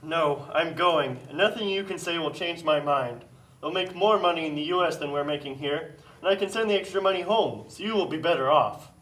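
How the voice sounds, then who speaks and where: A man acting as a resolute young son in a puppet show. The voice is highish and bright, earnest, and a little off the mic. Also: a little fast, distant mic, natural voice, rough recording. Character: determined young son leaving a poor plantation family.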